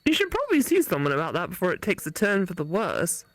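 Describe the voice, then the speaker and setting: A woman's voice: on the low side, huge pitch swings, fast talker, no room sound. A woman voicing a young female college classmate in a visual novel, frank, suspicious and scolding. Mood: concerned.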